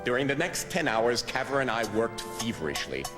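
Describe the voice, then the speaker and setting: An actor playing a reluctant, anxious gentleman adventurer, formal and literary, in a dramatic radio play. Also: mid-range voice, very animated, moderate pace, over music, close to an everyday voice. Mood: measured uneasy. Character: anxious, reluctant gentleman adventurer narrating his own tale. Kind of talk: dramatic narration and radio acting.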